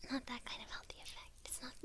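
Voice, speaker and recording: A woman playing a teasing witch who mentors an apprentice in a whispered ASMR roleplay, halting and gently patronizing. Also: close to an everyday voice. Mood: hushed, instructive. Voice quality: breathy whisper.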